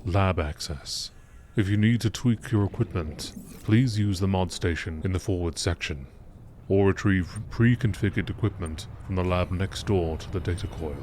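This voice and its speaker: Deep voiced guy reading stuff out like a nature doc narrator, measured, bit of background noise. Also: darkish tone. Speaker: narrator reading in-game lore texts. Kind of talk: scripted narration, nature documentary style.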